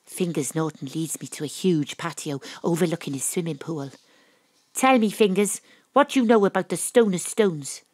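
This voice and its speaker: A woman's voice: on the low side, normal speed, a little mellow, no room sound, close to an everyday voice. A woman playing a determined, wry Welsh private detective, acting and narrating in a noir audio drama. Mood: hushed, wry.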